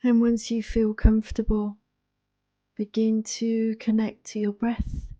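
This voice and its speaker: A woman guiding a yoga nidra relaxation in a soothing, hushed, unhurried manner, close to the microphone. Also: fairly high pitch, completely flat delivery, dry recording, muffled recording. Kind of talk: guided relaxation meditation.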